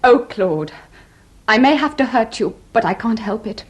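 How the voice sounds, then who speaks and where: A woman's voice: a little high, animated, normal speed, breathy edge, muffled recording. An actress playing a gushing, theatrical young married woman in a radio farce, declaiming in a breathless, melodramatic way. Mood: rapturous. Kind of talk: stage farce acted for radio.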